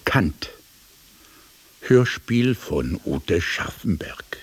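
A male radio play announcer with an extremely deep, very husky voice. He reads titles formally and extremely slowly. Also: very animated.

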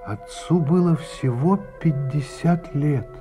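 A slow, reflective man. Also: a little high. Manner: slow, reflective, drops to a hush at phrase ends. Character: adult man recalling his youth, reflective and grave. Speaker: narrator. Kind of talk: measured literary radio-play narration. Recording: studio narration over music. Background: music score.

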